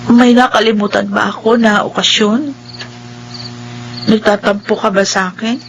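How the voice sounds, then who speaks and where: A Filipino woman acting in a radio drama as a blind young wife, gentle, affectionate and apologetic. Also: medium pitch, fairly even intonation, normal speed, dull audio, slight background noise, natural voice. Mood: hurt, pleading.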